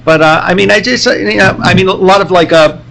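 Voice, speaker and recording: Higher-pitched, quick-talking man. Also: creaky, a bit bright, rough recording. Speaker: podcast panelist. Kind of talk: casual group chat. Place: live at a comic convention.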